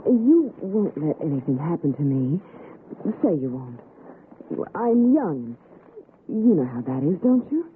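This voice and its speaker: An actress playing a young, fancy city wife, coaxing and fearful, with theatrical pleading in a 1960s radio suspense drama. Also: female voice, deep voice, big pitch swings, unhurried. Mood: weary, coaxing. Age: young adult. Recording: noisy, narrow-band vintage radio recording.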